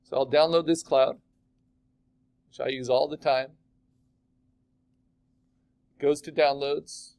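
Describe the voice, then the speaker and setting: A man teaching a digital art class, chatting casually and asking his students questions as he demos the software. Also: on the high side, steady tone, slow pace, pauses often, smooth. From California. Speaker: art instructor.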